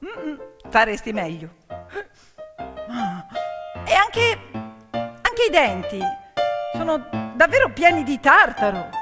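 Woman playing cheeky, high-voiced storybook girl. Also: sing-song, takes it slowly, pauses often, big-room sound, music in the background, heavily acted. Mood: blunt, teasing. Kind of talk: theatrical storytelling dialogue.

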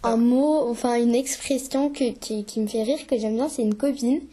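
A teenage pupil speaking casually and thoughtfully, with some fillers. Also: female voice, fairly high pitch, fairly even intonation, normal speed, dark tone, husky. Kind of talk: casual interview answers.

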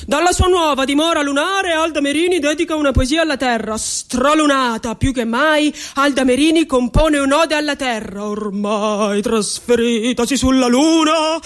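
Stage drama: actress playing impassioned rebel dreamer woman, higher-pitched voice, declamatory, deliberate emphatic delivery. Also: close to an everyday voice. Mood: dreamy, excited. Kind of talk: theatrical dramatic monologue.

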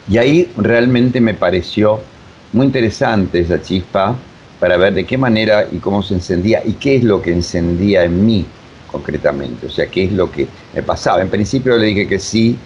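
An older man with an Argentine Spanish accent answers interview questions conversationally, in a halting, digressive way, often repeating himself. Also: a little mellow. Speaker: interviewed theater director and voice teacher. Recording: dull sound with faint rumbling noise.

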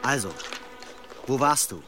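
A man's voice: medium pitch, measured pace, music in the background, lightly acted. A man playing a stern, righteous medieval monk in a German radio play, admonishing and interrogating. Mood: stern, probing.

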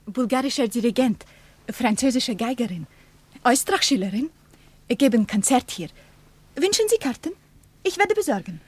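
A woman's voice: a little high, lively intonation, moderate pace, pauses often, darkish tone, slightly rough, no room sound. An actress playing an eager young female tour guide in a radio play, speaking clipped sentences. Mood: brisk, helpful. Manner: clipped, article-less sentences.

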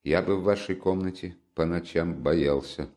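A brooding young man from a storybook reading, sullen and curt, with a somewhat low voice. He is played by the male reader in his own register. The tone stays dark and withdrawn. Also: moderate pace, lightly acted. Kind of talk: audiobook character reading.

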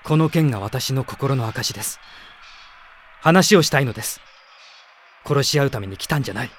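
An actor playing an idealistic young prince with a formal, sincere, intense manner in a radio drama. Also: male voice, mid-range voice, expressive, normal speed, a little hiss or hum, lightly acted. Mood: earnest pleading. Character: earnest, idealistic young prince leading an army. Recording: music behind the voice.

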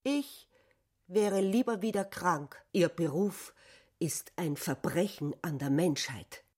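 An actress playing a mournful, accusing former asylum patient in a literary radio play. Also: female voice, on the low side, slow, darkish tone. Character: aggrieved cured female patient, mournful and accusing.

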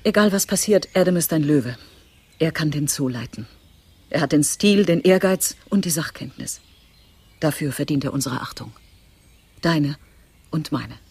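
An actress playing a composed, domineering woman in a German radio play, emphatic and deliberate. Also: low voice, lively intonation, a little mellow, husky, no echo, exaggerated voice. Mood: firm, commanding.

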